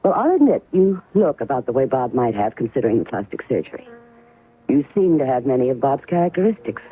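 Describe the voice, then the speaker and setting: An actress playing a guarded, skeptical ex-wife in a 1970s radio drama, speaking in a measured, wry way with some hesitations. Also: female voice, a bass voice, big pitch swings, slightly rough, heavily acted. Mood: cool, wry. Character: guarded ex-wife recounting a spy rendezvous, coolly skeptical. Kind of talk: 1970s radio drama acting with first-person narration. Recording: tinny band-limited 1970s radio, faint music.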